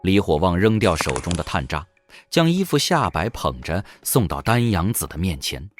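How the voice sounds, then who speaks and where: Deep-voiced male story narrator, very animated. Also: normal speed, background noise. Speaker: audiobook narrator. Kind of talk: scripted dramatic narration of a fantasy story.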